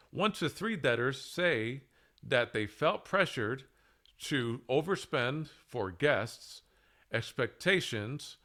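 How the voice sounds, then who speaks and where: Guy doing a scripted talk, really slow n deliberate, lots of pauses, sharp bright voice. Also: medium pitch. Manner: deliberate, halting phrasing with emphatic pauses. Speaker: presenter. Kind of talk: scripted monologue, public speaking.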